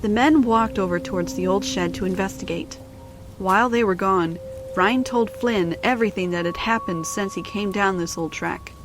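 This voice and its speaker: A woman's voice: medium pitch, moderate pace, music in the background. A woman reading scripted storybook narration for an audio drama.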